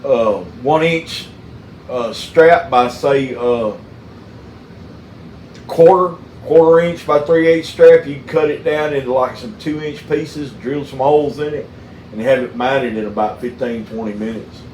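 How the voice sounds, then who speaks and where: Man, Southern US accent, casual chat, folksy, halting, echoey room, faint noise. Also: mid-range voice, darkish tone. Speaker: mechanic and channel host. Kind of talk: casual phone chat with storytelling.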